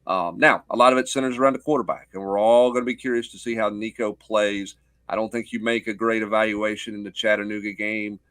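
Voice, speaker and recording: A man's voice: fairly low pitch, fairly even intonation, a little fast, fairly bright tone, dry recording. A middle-aged man giving off-the-cuff sports analysis as a guest, explaining with rhetorical questions and little check-in tags.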